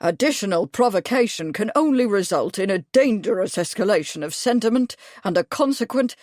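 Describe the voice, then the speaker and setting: A woman's voice: medium pitch, animated, a little fast, darkish tone, no echo, clean studio sound, lightly acted. A woman voicing a fussy, pompous older male retired major who talks at length. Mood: indignant. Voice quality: pompous, fussy, long-winded.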